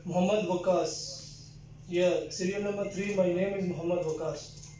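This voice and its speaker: A man's voice: high-pitched, fairly even intonation, normal speed, mellow, slight background noise. A young man answering job interview questions politely over a video call in a small office. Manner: polite, formal, addresses interviewer as ma'am. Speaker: job applicant. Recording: echoey room, distant mic.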